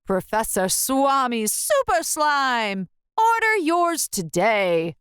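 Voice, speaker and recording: A woman's voice: high-pitched, very animated, takes it slowly, fairly bright tone, clean tone, no room sound, studio quality, heavily acted. A woman voicing a grand, salesy advert and warning label in a children's storybook. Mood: hyped.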